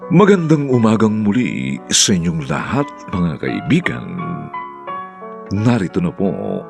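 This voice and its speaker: Lowish gravelly guy introducing a radio drama, super swoopy delivery, theatrical. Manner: deliberate, theatrical. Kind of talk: scripted programme introduction. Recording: prominent music behind the voice.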